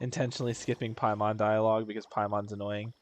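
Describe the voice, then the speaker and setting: A man's voice: lowish voice, level tone, quick pace. A man giving casual, jokey gameplay commentary as a streamer, full of slang. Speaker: game streamer. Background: game music.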